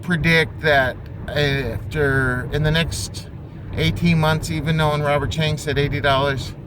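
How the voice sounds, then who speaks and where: A man giving an unscripted investing opinion in a halting, thinking-aloud way, as an amateur commentator. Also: on the high side, steady tone. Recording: clearly noisy, vehicle and outdoor-like background.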